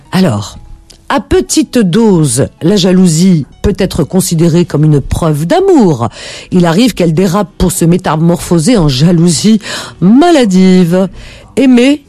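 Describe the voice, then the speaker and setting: A woman's voice: on the low side, mellow, dry recording. A woman hosting a late-night call-in radio show, gushing and laughing often as she invites listeners to share their stories.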